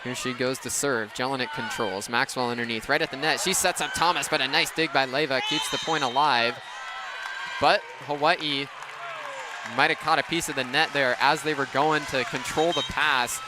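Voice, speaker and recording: A young man calling live sports on radio in clipped phrases that build into excited calls. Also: mid-range voice. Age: young adult. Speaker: radio play-by-play sports announcer. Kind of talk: live radio play-by-play commentary. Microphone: headset. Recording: noisy gym with court sounds behind. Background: gym ambience, bouncing ball.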